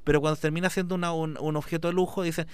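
A man's voice: fairly high pitch, level tone, racing, a little mellow, clean tone, no echo. Passionate rambling man. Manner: rambling, fills with "digamos", passionate. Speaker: artist guest. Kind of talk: conversational interview.

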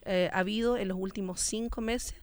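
A woman's voice: mid-range voice, steady tone, brisk, clear, resonant tone, no room sound. A woman from Chile with a Chilean accent, speaking as an interview guest in an earnest, outspoken, emphatic way. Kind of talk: conversational interview answers.

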